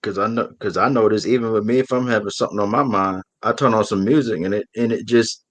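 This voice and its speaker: A man's voice: on the low side, fast, dry recording. A young adult man co-hosting an interview, talking earnestly with many restarts. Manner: earnest, animated, trailing restarts. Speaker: co-host. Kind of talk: casual interview chat.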